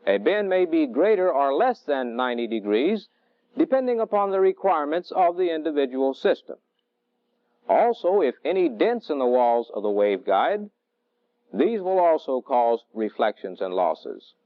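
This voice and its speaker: A middle-aged man lecturing as a military technical instructor in a deliberate, didactic way, on a vintage training film soundtrack. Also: fairly high pitch, sing-song, no room sound, phone-quality sound. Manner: deliberate, didactic, measured phrasing. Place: indoor training film studio.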